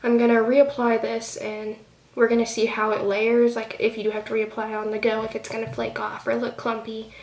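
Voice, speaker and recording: A woman's voice: on the high side, monotone, fairly quick, darkish tone, recorded from a distance. A young woman giving a casual, rambling product review with lots of fillers, sounding a bit nasal from a cold. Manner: chatty, rambling with many fillers. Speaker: beauty vlogger. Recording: echoey room, off-mic, faint hiss.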